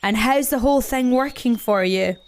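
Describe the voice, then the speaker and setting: A woman voicing a big, sensible male brown bear in a children's story, speaking slowly and emphatically. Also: fairly high pitch, natural voice. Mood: challenging, reasoning.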